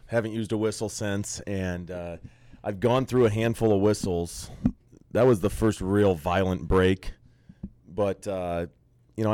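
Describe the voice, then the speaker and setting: A man's voice: on the low side, smooth, no echo. A man who coaches college basketball, talking easily and at length in an interview, often restarting his sentences. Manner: relaxed, talkative, frequent restarts. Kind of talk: casual interview chat.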